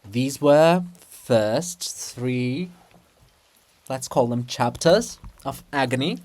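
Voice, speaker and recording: A man's voice: mid-range voice, extremely slow, a little mellow. A man reading a drama aloud, narrating and giving casual asides. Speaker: reader.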